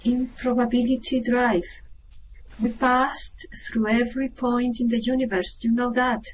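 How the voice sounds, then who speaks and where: A woman's voice: a little high, little pitch movement, unhurried, slight background noise, lightly acted, low-quality recording. A woman playing a level-headed, dryly unimpressed space traveler in a radio play, matter-of-fact in manner. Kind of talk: amateur radio play acting.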